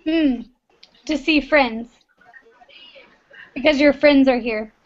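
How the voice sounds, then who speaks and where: A woman's voice: sits high, takes it slowly. A woman from Texas teaching an online English class, friendly and patient, encouraging her students over a video call. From Texas, United States. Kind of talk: online class small talk. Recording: muffled video-call audio.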